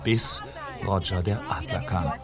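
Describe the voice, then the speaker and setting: An actor playing the storyteller of a whimsical fairy tale, narrating in a scripted radio play. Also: male voice, sits low, measured pace. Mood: storytelling. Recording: music under the voice.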